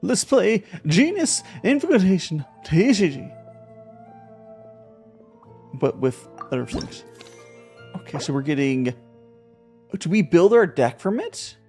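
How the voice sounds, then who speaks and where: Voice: medium pitch, big pitch swings, takes it slowly, long pauses, dark tone, faint music behind. A streamer talking casually while playing a card game, thinking aloud with many fillers and repeated words. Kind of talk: casual live gameplay commentary.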